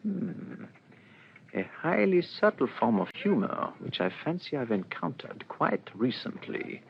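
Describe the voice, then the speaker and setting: An actor playing a methodical, dryly witty French police inspector in a radio drama, speaking formally and courteously. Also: male voice, medium pitch, sing-song, measured pace, creaky. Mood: musing. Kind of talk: old-fashioned radio drama acting. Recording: dull lo-fi radio drama with noise.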